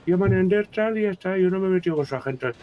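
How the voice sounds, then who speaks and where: A man's voice: high-pitched, fast, muffled recording, slight background noise, lightly acted. A man voicing a lovelorn young man who speaks broken Spanish with an exaggerated foreign accent, grumbling through roleplay banter. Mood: sulky. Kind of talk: roleplay game chat.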